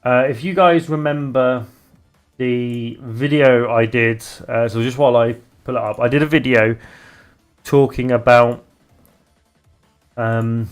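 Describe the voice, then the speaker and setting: A young British man streaming live, chatting casually and haltingly as he reads out viewer messages. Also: medium pitch, steady tone, clean tone, soft background music. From Britain. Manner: rambling, halting, restarts often while reading viewer chat aloud. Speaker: live streamer and game developer.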